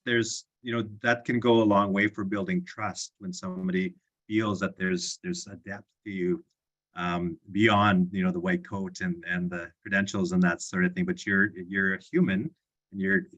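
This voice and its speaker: A man's voice: sits low, fairly even intonation, normal speed, a bit bright, dull audio. A man moderating an online session, affirming and reflective, often repeating words as he thinks.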